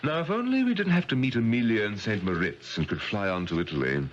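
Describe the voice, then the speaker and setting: An actor playing a wealthy, gullible English gentleman in a 1970s radio drama, proper and clipped. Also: on the low side, expressive, fast, lightly acted. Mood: proper, composed. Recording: dull vintage radio broadcast.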